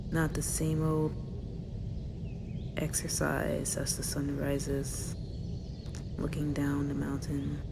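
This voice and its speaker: Casting: woman to play a young half-dragon girl for ASMR roleplay. Low, crackly with vocal fry, hesitant, lonely, restless, a little sulky. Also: heavily acted. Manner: hesitant, musing, casual modern phrasing. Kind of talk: ASMR roleplay, intimate monologue to a mentor.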